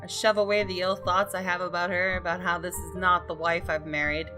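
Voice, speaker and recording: A woman gaming host giving an expressive, dramatic scripted narration of a visual novel. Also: on the low side, fairly quick, rough recording. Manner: expressive, story-reading delivery. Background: game music.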